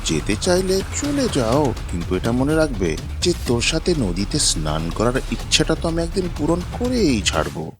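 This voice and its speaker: A man's voice: mid-range voice, wildly swooping pitch, moderate pace. A man playing a cocky, lecherous village gang leader in a cartoon, with a swaggering way of talking. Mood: leering. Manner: swaggering, repeats an oath catchphrase. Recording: music playing behind the voice.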